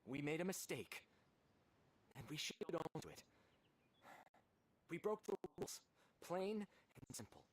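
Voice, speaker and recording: A man's voice: on the high side, big pitch swings, measured pace, pauses often. A man playing a resigned, repentant young man in an anime game, grave and restrained.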